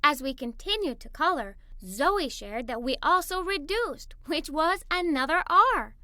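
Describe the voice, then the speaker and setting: A woman reading a scripted children's story aloud in an animated, expressive way. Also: high voice, unhurried, no echo, studio quality. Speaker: story narrator. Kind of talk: scripted children's story read-aloud, first person as a young girl.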